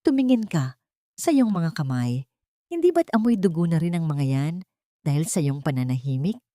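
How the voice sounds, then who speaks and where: A woman's voice: low-pitched, very animated, moderate pace, mellow, no room sound, studio quality. A woman voicing a stern older grandmother, grave and accusing, in an audio drama. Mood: reproachful. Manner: grave, reproachful. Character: stern, accusing grandmother shaming a guilty man.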